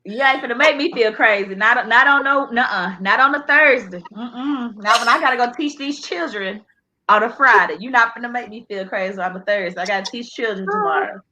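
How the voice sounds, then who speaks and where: A woman's voice: a little high, expressive, a little fast, bright, forceful tone, slight background noise, rough recording. A woman with a Texas accent, chatting as a guest in a sassy, playful way with a drawl. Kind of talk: casual chat.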